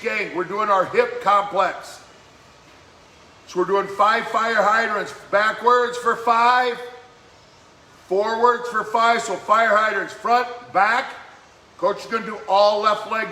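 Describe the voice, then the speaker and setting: Slightly hoarse male fitness coach, echoey room. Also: sits high, pushed and bright. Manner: encouraging, brisk exercise cues. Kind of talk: live-streamed workout cueing. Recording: echoey room with faint background noise.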